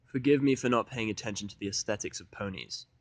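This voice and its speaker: A man's voice: lowish voice, fast, no echo, natural voice. A man playing an irritable, stubborn, sarcastic young student in a fan fiction audio drama, speaking bluntly and with exasperation. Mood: defiant, sarcastic.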